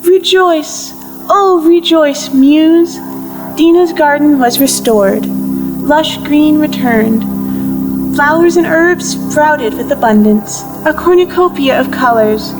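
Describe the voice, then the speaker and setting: A woman's voice: high-pitched, takes it slowly, poor audio. A woman playing an exalted, theatrical poetic narrator in an audio play, proclaiming grandly in a declamatory mythic style. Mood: exultant. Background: music.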